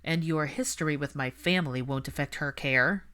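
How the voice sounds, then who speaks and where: Woman voicing a cold, disdainful aristocratic man, low-pitched. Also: moderate pace, fairly bright tone. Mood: cold, dismissive. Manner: clipped, disdainful, formal. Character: cold, guarded, contemptuous aristocratic young father. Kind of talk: audiobook character dialogue.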